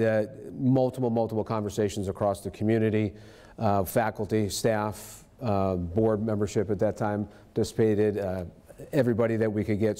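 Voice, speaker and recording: A man's voice: lowish voice, mellow. A middle-aged man, a school superintendent, presenting informally to a board meeting with many fillers, in a large library room.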